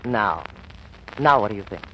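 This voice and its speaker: A man's voice: on the low side, animated, moderate pace, a bit bright. An actor playing a casual, teasing young man in 1940s radio acting style. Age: young adult. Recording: lightly noisy vintage radio recording.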